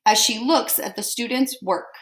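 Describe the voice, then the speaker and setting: A woman teacher reading a picture book aloud to children, expressively and deliberately, pausing to put simple questions to them. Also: a little high. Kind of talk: storybook read-aloud with questions to young listeners.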